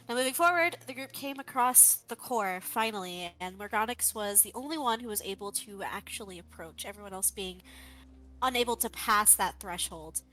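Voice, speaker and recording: A woman, a player in a tabletop game, telling the recap of the last session in an animated way. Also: mid-range voice, poor audio. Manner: animated storytelling. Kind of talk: recapping the story.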